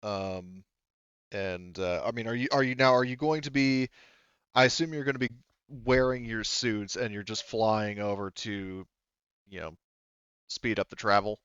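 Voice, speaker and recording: A man with a clear, smooth voice works as game master for a tabletop roleplaying session. He narrates in a halting, chatty way and slips into asides. The audio is very dry and a little dull. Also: on the low side, steady tone, a bit bright, no room sound.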